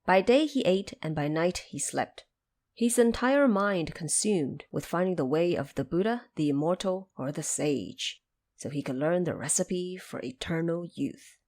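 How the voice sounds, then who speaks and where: A woman narrating a scripted audio drama as an expressive, dramatic storyteller. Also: on the low side, a little slow.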